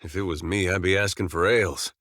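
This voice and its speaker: A man voicing an easygoing farmer turned adventurer in a video game, with a folksy, drawling, deadpan humour. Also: sits low, a little fast, no echo, clean studio sound. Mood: wry, easygoing. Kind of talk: video game companion dialogue and barks.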